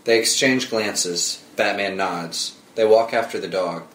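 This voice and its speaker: A man's voice: fairly low pitch, measured pace, a bit bright. A man narrating screenplay stage directions as scripted narration, with film-score music behind him. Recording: echoey room with music under the voice.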